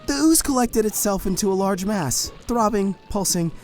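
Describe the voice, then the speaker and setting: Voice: mid-range voice, animated, moderate pace, a little mellow, faint music behind, close to an everyday voice. A performer narrating in first person as a harried prison warden, frantic and exasperated, in a fan audio drama.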